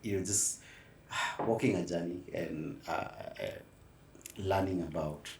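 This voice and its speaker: A man's voice: deep voice, slow pace. A man with a Kenyan accent hosting a casual conversational interview. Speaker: podcast host. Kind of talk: casual conversational interviewing, mixing English and Swahili. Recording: echoey room, distant mic, faint noise.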